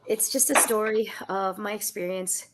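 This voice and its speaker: A woman's voice: mid-range voice, steady tone, normal speed, background noise. A woman author talking about her memoir, a little congested from a cold, telling stories with frequent laughs. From Southern California. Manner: laughs often, warm and storytelling. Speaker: author guest. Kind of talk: conversational book talk.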